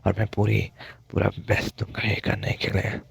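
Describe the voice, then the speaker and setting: Guy acting as tender young mafia boyfriend, very raspy breathy low voice, halting asmr roleplay, heavy fry. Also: monotone, heavily acted. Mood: earnest, gentle. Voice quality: husky, breathy, gravelly. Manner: halting, soft-spoken, with pauses. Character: tender, hesitant young man, mafia boss's son turned master. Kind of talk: intimate ASMR boyfriend roleplay in Hindi-English mix.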